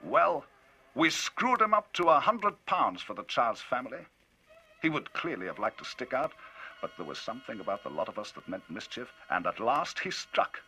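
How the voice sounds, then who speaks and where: Brief: a man voicing a worked-up gentleman narrator, lively swoops, forceful, husky. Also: mid-range voice, moderate pace, slight background noise, poor audio. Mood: animated. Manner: emphatic, rising, animated storytelling.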